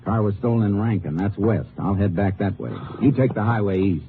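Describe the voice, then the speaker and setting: A man acting as a stern, steady American Texas Ranger in a radio drama, narrating his case and speaking in terse, authoritative lines. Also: fairly low pitch, fairly quick, a little hiss or hum, close to an everyday voice. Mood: matter-of-fact. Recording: muffled vintage radio broadcast with music.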